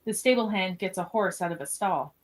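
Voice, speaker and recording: A woman's voice: mid-range voice, brisk. A woman reading scripted scene directions as narrator of a podcast radio play.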